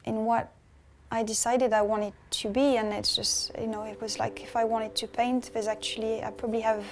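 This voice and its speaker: A woman who paints reflects on her masters course, often pausing and starting over. Her voice sits fairly high. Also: level tone, slight background noise. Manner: reflective, many I thinks and restarts. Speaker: painting student. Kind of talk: documentary interview.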